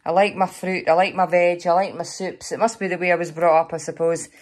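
Chatty Scottish woman, slightly hoarse. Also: on the low side, flat delivery, fairly quick, darkish tone. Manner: chatty, rambling, with fillers and small laughs. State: slightly hoarse, losing her voice. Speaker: vlogger showing a grocery haul. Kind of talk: casual chat to camera. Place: kitchen counter at home, indoors.

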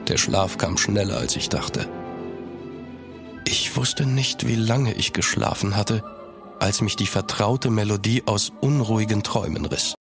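Expressive young nobleman. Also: lowish voice, unhurried, gravelly, over music. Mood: brooding narration. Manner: earnest, expressive. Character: young, ardent French nobleman in love. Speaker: actor and narrator in an audio drama. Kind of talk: dramatic first-person narration and dialogue.

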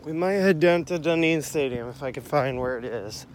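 A young man casually rambling to viewers as he walks outside with a handheld phone, narrating what he sees and often repeating himself. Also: fairly high pitch. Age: young adult. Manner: repetitive, unhurried narration of surroundings. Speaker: amateur vlogger. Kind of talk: casual rambling walk-along vlog. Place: outdoors, walking along a street and pier trail. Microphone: handheld phone at arm's length. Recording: noisy outdoor phone recording with traffic.

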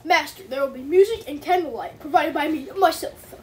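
Woman as a high-pitched, eager candelabra servant. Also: expressive, brisk. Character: eager, talkative male candelabra servant in cartoon parody. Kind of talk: amateur comedic voiceover dub of an animated film. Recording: distant mic, faint background noise.

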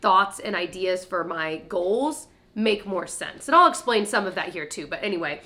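A woman's voice: on the low side, expressive, normal speed, bright, forceful tone. Chatty young woman, stuffy and nasal. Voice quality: nasal from congestion. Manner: chatty, upbeat, laughs often, lots of "like". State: stuffy nose. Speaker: lifestyle vlogger. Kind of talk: casual chat to camera.

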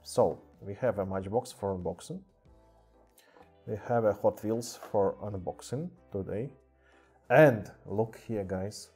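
Russian-accented man, lowish voice, halting English, webcam mic, faint music behind. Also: warm tone, husky. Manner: halting non-native English with many fillers. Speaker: streamer showing toy car collection. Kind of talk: casual live stream chat. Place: indoor room with cluttered table.

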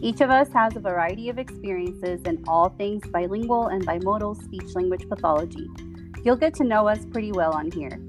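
A woman's voice: mid-range voice, a little fast. Woman introducing a podcast over music. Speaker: podcast co-host. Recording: music behind the voice.

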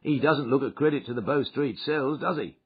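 A man reading aloud and voicing a bluff, hearty middle-aged male police inspector in a loud, hearty manner. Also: mid-range voice, brisk, slightly rough, highs cut off, pitched above the performer's own voice, close to an everyday voice. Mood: jovial.

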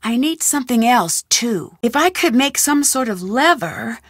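A determined young woman detective muses aloud over clues and puzzles in a game. Her voice sits a little high and bright. The sound is close and dry, with no room around it. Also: expressive, unhurried, husky, no room sound, heavily acted. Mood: curious. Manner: thinking aloud, inquisitive. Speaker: game heroine. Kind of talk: game voice lines.

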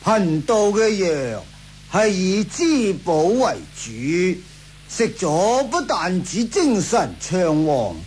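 A man playing a sly old Taoist priest in a radio drama, flattering and theatrical with drawn-out words. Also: high voice, a little hiss or hum, exaggerated voice. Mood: obsequious. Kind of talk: Cantonese radio drama acting, 1980s.

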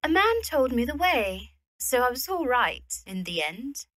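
A woman's voice: sits high, very animated, measured pace, fairly bright tone, no room sound, studio quality, full character voice. A woman playing a genteel, evasive young widowed lady, polite and a little hesitant, in an audio drama. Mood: feigned casual. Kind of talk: radio play acting.

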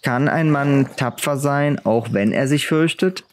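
A man's voice: mid-range voice, a little slow, slight background noise, voice pushed up, close to an everyday voice. Man voicing an earnest, unsure young boy. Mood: earnest, questioning. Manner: unsure, questioning. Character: curious, earnest young boy of a noble house.

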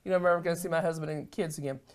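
A middle-aged American woman on a studio talk show, telling her testimony as an animated storyteller who acts out the dialogue. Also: on the low side, rapid-fire. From United States. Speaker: guest minister. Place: television studio set.

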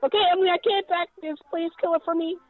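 A woman chatting casually as a co-player in a game, excitable and often repeating herself. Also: very high voice, racing. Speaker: gamer, co-player. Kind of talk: casual gameplay chat. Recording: tinny, phone-like voice chat line.

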